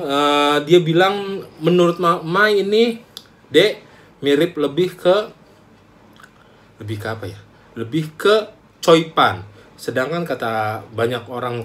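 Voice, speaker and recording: A young man, chatting casually to camera in a small room as a food vlogger, animated and talkative. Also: mid-range voice, slight background noise. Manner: chatty, animated, calls himself by name. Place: small room indoors, plain wall.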